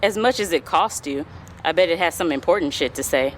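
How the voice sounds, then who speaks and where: A woman's voice: low voice, animated, brisk, a little hiss or hum. A woman playing a sassy, teasing younger sister in a comic audio play, talking in a playful, colloquial way.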